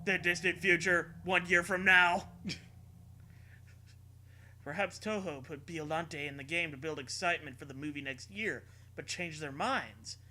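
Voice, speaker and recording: A man mockingly reading a story aloud as a host, with profane, sarcastic and excitable joking commentary. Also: fairly high pitch, a little slow, a little hiss or hum. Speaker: host reading internet horror stories aloud. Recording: music behind the voice. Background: music.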